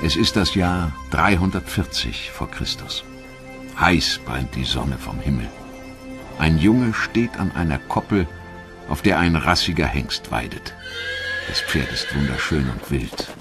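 A man reading scripted history documentary narration in a measured, clearly articulated storytelling manner. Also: rumbling low, animated, over music.

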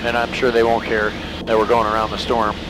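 A man's voice: lowish voice, monotone, brisk. A man coaching casually as a flight instructor, informal and joking, through an aircraft headset. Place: small light aircraft cockpit. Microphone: aviation headset. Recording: loud engine noise, aircraft headset intercom.

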